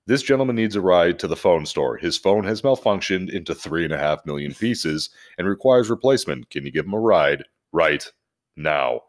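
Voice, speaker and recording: A man's voice: low-pitched, lively intonation, moderate pace. A man hosting a podcast in a casual, jokey way with plenty of swearing. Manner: profane, jokey. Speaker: podcast host. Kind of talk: casual podcast chat and animated story reading.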